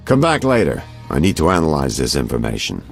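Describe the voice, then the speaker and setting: A man's voice: rumbling low, wildly swooping pitch, a little fast, creaky, music in the background, heavily acted. A man playing a seasoned, self-assured detective in a video game, talking with condescending confidence. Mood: probing.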